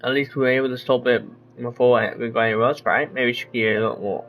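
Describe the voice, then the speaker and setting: A man's voice: medium pitch, fairly even intonation, fast, a bit bright. A man doing casual gameplay commentary, reading dialogue aloud and muttering as he trails off. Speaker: game streamer reading dialogue along. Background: game music.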